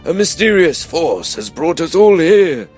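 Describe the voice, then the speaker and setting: An eccentric old wizard, rather high in pitch and swooping dramatically. He draws out his words with portentous, mysterious grandeur, as in a comic fantasy radio play with music underneath. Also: sing-song. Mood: grandiose, urgent. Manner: theatrical, portentous, drawn-out delivery.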